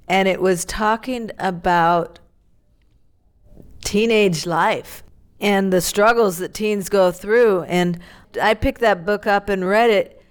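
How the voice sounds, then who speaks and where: A woman's voice: mid-range voice, clean tone. A woman who grew up in Tanzania, Ethiopia and Pakistan, telling her personal story earnestly and reflectively as a missionary guest in an interview.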